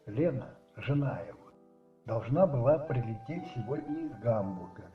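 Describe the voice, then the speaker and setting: A man narrating a radio play as dramatic literary storytelling, musing and rhetorical, with dramatic pauses. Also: medium pitch. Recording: roomy, slightly muffled, with music behind.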